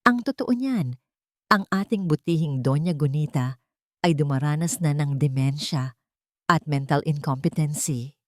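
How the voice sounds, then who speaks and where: A slick male attorney voiced by a woman narrator in an audio story. He is formal and smooth, with put-on sympathy. The voice sits low, recorded dry. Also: measured pace, dry recording. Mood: falsely sympathetic. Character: slick male defense lawyer with fake sympathy.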